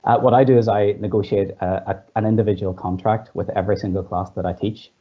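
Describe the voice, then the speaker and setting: A male teacher speaks earnestly on a panel, often starting his sentences with "uh". His voice is very deep. The sound is muffled and lo-fi. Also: steady tone, quick pace. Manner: earnest, with frequent "uh" fillers. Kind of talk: panel contribution.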